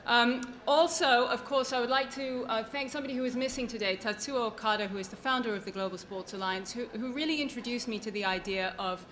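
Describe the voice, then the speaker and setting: A woman's voice: fairly high pitch, fast, hardly any pauses, a bit bright, a little hiss or hum. A woman speaking publicly at a launch event as an author, in an earnest, grateful and emphatic manner. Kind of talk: public speech at a book launch. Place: event at an institutional venue, live speech.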